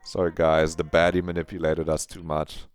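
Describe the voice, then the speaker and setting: A man's voice: extremely low pitch, level tone, moderate pace, soft background music. A man streamer reading a visual novel aloud in a subdued, murmured voice.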